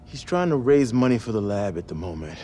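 Chill lab assistant, lowish mellow voice, casual and teasing, game drama. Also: male voice, brisk, a little hiss or hum. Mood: easygoing. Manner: relaxed, casual. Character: laid-back, teasing male lab assistant. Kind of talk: video game drama acting.

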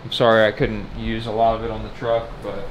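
Low, flat-voiced guy in a noisy shop. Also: brisk, lo-fi sound. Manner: plain, matter-of-fact explaining. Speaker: shop crew member. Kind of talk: casual shop talk.

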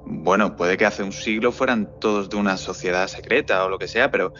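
A man's voice: low-pitched, a little fast, a bit bright. An actor from playing a casual, mildly sceptical male podcast colleague in natural audio-drama dialogue. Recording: dull sound with music behind.

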